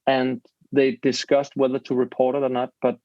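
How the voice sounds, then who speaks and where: A man's voice: medium pitch, monotone, normal speed, darkish tone, no echo. A man, a podcast guest telling a story casually in English, searching for words and restarting his sentences. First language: Danish. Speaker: podcast host. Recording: dull lo-fi dry sound.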